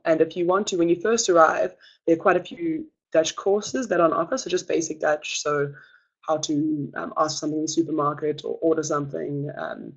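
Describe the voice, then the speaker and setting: A young adult gives a webinar presentation as a student presenter, talking in a reassuring way with many hesitant filler pauses. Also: medium pitch, creaky, slightly rough, muffled recording.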